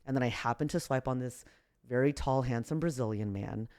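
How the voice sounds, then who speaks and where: A woman with a deep voice, a comedian guest telling a story in casual podcast chat, relaxed and low-key. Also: brisk. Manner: relaxed, low-key, acts out quotes with raised voice.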